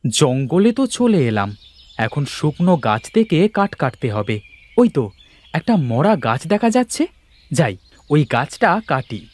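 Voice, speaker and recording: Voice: medium pitch, big pitch swings, a little slow, darkish tone. A performer voicing a kind, dutiful village boy in a cartoon, earnest and eager in manner. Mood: purposeful.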